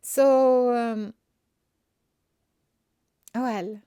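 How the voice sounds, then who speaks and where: A woman with a Swedish accent, a spiritual coach and healer, talking gently and searchingly in an unscripted inspirational monologue. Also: on the high side, fairly even intonation, very slow, clear, resonant tone, no room sound, studio quality. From Sweden. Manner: gentle, searching, with restarts and soft fillers.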